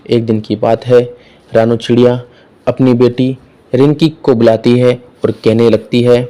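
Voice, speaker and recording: A male narrator with a soft, dark timbre and a middling pitch. He reads a children's tale as scripted storytelling. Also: flat delivery, unhurried.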